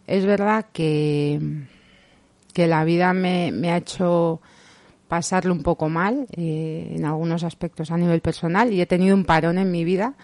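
A woman's voice: low-pitched, mellow, no room sound. Reflective young Spanish woman, dry sound. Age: young adult. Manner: reflective and open, pauses often with hesitations. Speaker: interviewee. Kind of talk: personal memories in casual conversation.

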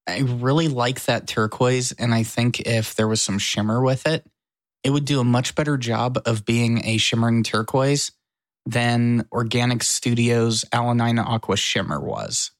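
A man's voice: medium pitch, unhurried, no echo. American guy chatting on a podcast, kinda wry, dry sound with no room. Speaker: podcast co-host. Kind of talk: casual chat.

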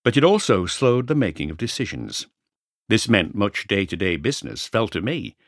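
A man reading a Victorian mystery novel aloud in a measured, literary storytelling manner. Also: lowish voice, big pitch swings, creaky, dry recording, studio quality. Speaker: audiobook narrator. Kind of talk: scripted first-person narration of a Victorian mystery novel.

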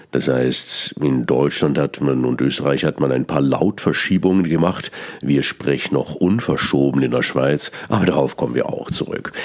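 A man from Bern with a Swiss German accent, hosting a musing radio talk on language with small restarts and pauses. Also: a bass voice. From Switzerland (Bern). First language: Bernese Swiss German. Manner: musing, digressive, with restarts and ähs. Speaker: radio host, satirist. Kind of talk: spoken philosophical radio column.

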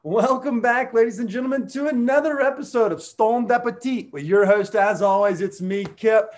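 Excitable high-voiced podcast host. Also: male voice, normal speed. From US South. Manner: excitable, loud bursts, Southern slang like y'all. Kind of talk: casual podcast chat and sponsor read.

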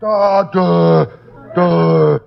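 A dim, dopey gasoline customer with a high, creaky voice. He speaks as a slow-witted fellow in a vintage radio comedy sketch, on a dull old recording. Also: steady tone, full character voice. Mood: dim, earnest. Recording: band-limited 1940s radio recording.

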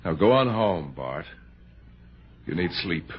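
An actor playing a self-assured, placating silent-movie star in 1960s radio drama style, commanding and reassuring. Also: male voice, sits low, sing-song, takes it slowly, slightly rough, full character voice. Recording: muffled, hissy old radio broadcast.

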